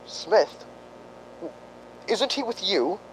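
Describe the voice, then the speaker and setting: A man playing a frail, injured doctor in a radio drama, halting, apologetic and evasive. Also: animated, full character voice, rough recording. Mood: evasive, sorrowful. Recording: faint rumbling background noise.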